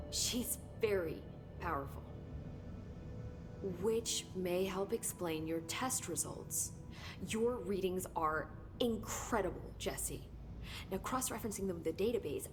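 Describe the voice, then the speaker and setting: An actress playing a curious, analytical government researcher in a video game, inquisitive and articulate. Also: female voice, medium pitch, lightly acted. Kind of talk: video game cutscene acting.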